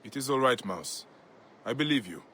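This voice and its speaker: An actor playing a grave, compassionate male Egyptian investigator in a video game, speaking in a measured, brooding way. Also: mid-range voice, expressive, pushed and bright, slightly rough. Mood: calm, reassuring. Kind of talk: video game acting, part dialogue, part musing aloud.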